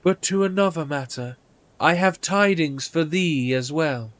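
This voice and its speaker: A man's voice: fairly high pitch, a little slow, faint music behind, close to an everyday voice. A man voicing a proud ancient dragon envoy in a video game, speaking in solemn, archaic, formal phrases. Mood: solemn, stately. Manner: formal archaic speech, stately.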